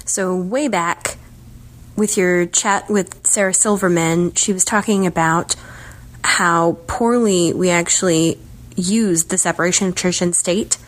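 Slightly low-voiced woman, reflective. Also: fairly even intonation, measured pace, low-quality recording. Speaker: podcast listener leaving a recorded message. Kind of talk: personal recollection.

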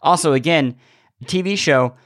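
A man's voice: on the high side, moderate pace, dry recording, clean studio sound. A man co-hosting a podcast, talking casually and analytically, often repeating words as he forms his argument. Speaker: podcast host. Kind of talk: casual opinionated discussion.

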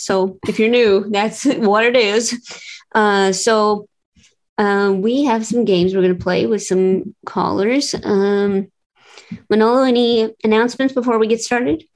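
A woman with an average-pitched voice hosts a comedy game podcast, speaking in a dry, wry, offhand way. She sounds like she is on a video call. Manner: dry, wry, reads listener chat aloud. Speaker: host. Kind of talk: casual podcast banter.

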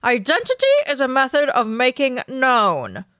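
A woman podcast host reading a book aloud dramatically, in a deliberate, declamatory way. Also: high voice, sing-song, pushed and bright.

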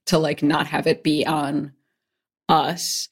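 Woman interview host, rambling, filler-heavy, low-middle pitch. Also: flat delivery, takes it slowly, breathy edge. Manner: rambling, hedging, many fillers. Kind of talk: casual interview chat.

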